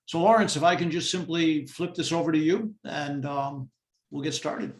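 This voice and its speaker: An American man in his sixties, a vice president of a safety institution, introducing himself in a measured way with frequent pauses over a video call. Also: a little high, roomy sound. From United States. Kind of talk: brief self-introduction.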